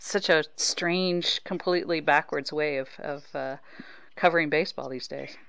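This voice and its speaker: A woman's voice: low-pitched, normal speed, gravelly, fairly bright tone, clear, resonant tone, dry recording. A woman hosting a sports podcast, asking questions and chatting in a conversational way. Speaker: podcast host and interviewer.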